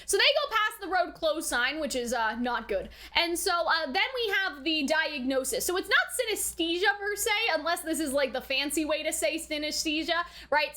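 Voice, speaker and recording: A woman giving casual, chatty anime reaction commentary in an animated way, often adding "like" and checking in with the listener. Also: high voice. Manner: chatty, animated, frequent "like" and "right?". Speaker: anime reviewer.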